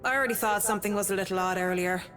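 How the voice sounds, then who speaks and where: A woman's voice: mid-range voice, very fast, fairly bright tone, faint music behind, natural voice. A woman playing a stern interior designer in a visual novel, speaking in a formal, clipped and controlled way. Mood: restrained impatience. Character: stern professional female interior designer, curt and displeased.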